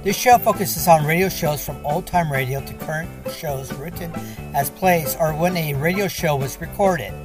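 A man reading a scripted introduction as a radio show host. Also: a little high. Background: faint music.

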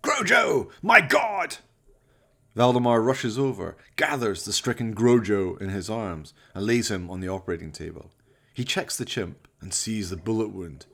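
A man's voice: on the low side, gravelly. Man narrating a horror radio play. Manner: theatrical, deliberate storytelling. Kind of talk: scripted dramatic horror narration.

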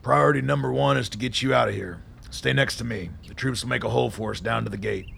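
A man playing a seasoned, plain-spoken army colonel in a radio drama, gravelly, measured and dryly understated. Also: mid-range voice, fairly bright tone, slight background noise. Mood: courteous, assured.

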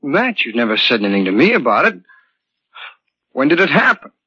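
A man's voice: medium pitch, very animated, a little fast, pushed and bright, husky, no room sound, full character voice. A man playing a vigorous, good-natured brother in earnest, placating 1940s radio drama style. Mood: puzzled. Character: vigorous, good-natured, puzzled twin brother, talented musician. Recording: muffled, dry 1940s radio broadcast.

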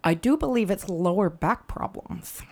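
Phlegmy, lively woman. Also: medium pitch, normal speed. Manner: chatty, restarts her sentences. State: phlegmy with a cold. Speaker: podcast co-host. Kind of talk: casual comedic chat.

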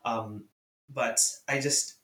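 A man's voice: medium pitch, takes it slowly. A young man whose first language is Urdu or Hindi, hosting a casual music podcast and talking with enthusiasm and lots of fillers. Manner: enthusiastic, lively, many fillers. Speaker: podcast host. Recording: echoey room.

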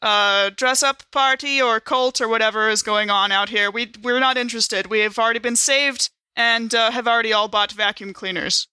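A woman's voice: on the high side, fairly even intonation, moderate pace, few pauses, bright, forceful tone, clear, resonant tone, no room sound. A woman playing an indignant cabin dweller in a tabletop game, calling out sarcastic, polite refusals. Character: indignant cabin woman shouting brush-offs out the window.

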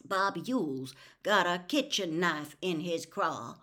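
A blunt, insistent small-town sheriff, as a woman reader puts him on, stubborn and drawling. He speaks louder and slower than the narration around him, with plain country phrasing. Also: fairly low pitch. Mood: pleading. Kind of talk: audiobook dialogue reading.

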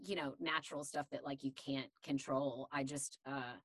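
A woman voice actor with a deep voice, talking candidly and chattily in an interview, with many fillers. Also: steady tone, normal speed, no echo. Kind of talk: casual interview chat.

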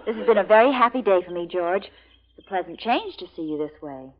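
An actress playing a brisk, loyal assistant in 1940s radio drama style, coaxing and chiding with urgency. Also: female voice, medium pitch, expressive, natural voice. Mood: gentle, teasing. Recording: muffled old radio recording, crowd behind.

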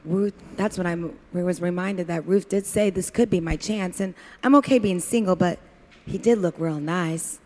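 A woman's voice: fairly low pitch, normal speed, darkish tone, natural voice. A woman improvising a flustered, chatty, eager-to-please diner waitress in a comedy parody of a radio play. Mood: smitten, rambling. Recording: reverberant hall sound.

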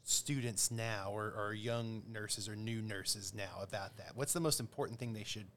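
A man hosts a podcast with a somewhat low voice. He builds long, careful questions in several parts and speaks in a measured way. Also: steady tone, fairly bright tone, slight background noise. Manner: measured, asks long multi-part questions. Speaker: podcast host.

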